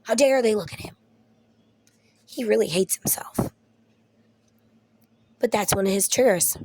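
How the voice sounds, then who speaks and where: A woman's voice: medium pitch, a little slow, darkish tone, no room sound. A young woman, ill and losing her voice, chatting casually to camera at home, rambling and swearing with laughing asides. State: ill with Covid. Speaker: vlogger.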